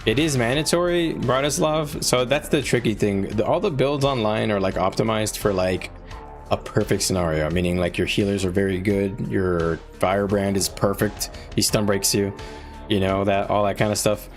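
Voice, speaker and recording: Low-voiced man chatting on a game stream. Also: normal speed. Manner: informal, conversational, explaining advice to viewers. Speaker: game livestreamer. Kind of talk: casual live gaming stream chat. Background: music.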